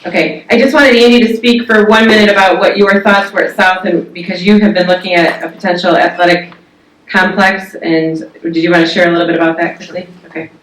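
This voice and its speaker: A woman facilitating a committee meeting, briskly and amiably handing the floor to others. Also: on the low side, fairly even intonation. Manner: brisk, friendly hand-offs to speakers. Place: meeting room. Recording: echoey meeting room.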